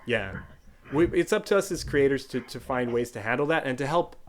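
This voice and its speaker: A man's voice: mid-range voice, animated, brisk. A man with a Southern Californian accent, a guest creator in a casual interview, talking animatedly and thinking aloud. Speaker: guest, online video creator.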